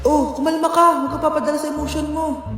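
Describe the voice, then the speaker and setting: Casting: woman as soothing conscience figure, fairly high voice, echoey room, light music. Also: little pitch movement, quick pace. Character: calming inner voice urging a mother not to get angry. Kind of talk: comedy skit acting. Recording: roomy echo, faint music behind.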